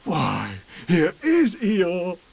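A man's voice: high voice, huge pitch swings, drawn-out words, gravelly, slightly rough, pitched above the performer's own voice, full character voice. A man voicing a friendly, simple toy bear in a storybook, with an earnest, singsong manner. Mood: eager. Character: eager, friendly, slow-witted male toy bear.

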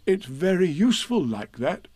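A man voicing a gentle, simple-minded male bear who talks earnestly, in a storybook read-aloud. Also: high voice, very animated, moderate pace, dry recording, voice pushed up. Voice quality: earnest, soft-spoken.

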